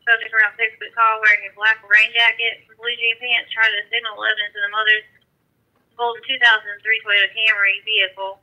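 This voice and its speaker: Guy with a high very sharp voice dispatching over police scanner, clipped and by the book, lo-fi radio sound. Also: level tone, a little fast. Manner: clipped, procedural.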